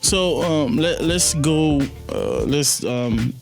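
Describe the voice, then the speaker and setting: A man from Haiti hosting a podcast, asking interview questions in a halting way with many restarts, wearing a headset. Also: a little high, wildly swooping pitch, vocal fry, faint music behind. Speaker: podcast host.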